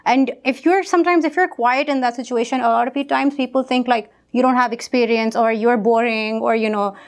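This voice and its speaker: A woman's voice: on the high side, fairly even intonation, brisk. A young woman from Pakistan, a guest author, talking casually in an interview with many fillers and restarts.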